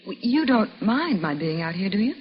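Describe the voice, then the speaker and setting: Female actor for a sweet wife with hidden cunning, lively melodic delivery, muffled 1950s radio drama. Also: mid-range voice, normal speed, close to an everyday voice. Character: sweet wife feigning amnesia, secretly shrewd. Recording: muffled, hissy vintage radio recording.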